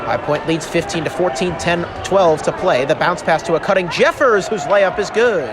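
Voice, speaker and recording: A man giving excitable live play-by-play radio commentary of a basketball game, calling out each play. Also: high voice, moderate pace. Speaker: play-by-play announcer. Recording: very loud arena crowd noise. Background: basketball game crowd and bouncing ball.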